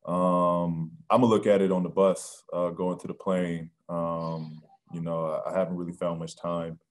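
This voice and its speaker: A man in his thirties answering postgame questions at a press conference, soft-spoken and halting with many ums and restarts, on a video call. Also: sits low, little pitch movement. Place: press room with sponsor backdrop.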